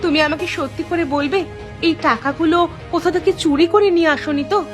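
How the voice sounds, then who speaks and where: High voiced lady playing a naggy stern village wife, cartoon dub, music behind her. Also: a little fast. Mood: suspicious, stern. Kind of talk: Bengali cartoon dialogue dub.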